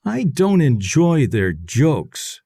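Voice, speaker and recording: A man voicing a friendly young boy in a children's storybook, with simple, deliberate phrasing. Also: mid-range voice, wildly swooping pitch, vocal fry, mellow, breathy edge, no room sound, studio quality, full character voice. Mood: annoyed.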